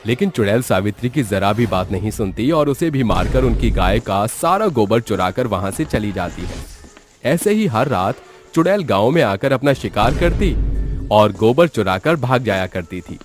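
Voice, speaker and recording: A man's voice: on the low side, very animated, normal speed. A man giving scripted narration for a horror cartoon story. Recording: background music under the voice.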